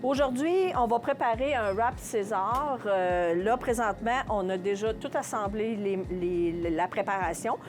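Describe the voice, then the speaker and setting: A woman with a Quebec French accent giving casual interview answers as a shop owner, haltingly searching for her words. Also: mid-range voice, music in the background. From Contrecœur, Quebec, Canada. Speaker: small business owner being interviewed.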